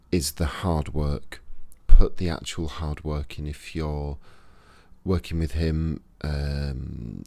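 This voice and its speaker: A man with a Yorkshire accent, giving a casual, unhurried spoken lesson to camera with pauses and fillers. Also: extremely low pitch, a little mellow, no echo. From Yorkshire, England. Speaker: esoteric practitioner and channel host. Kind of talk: casual instructional talk to camera.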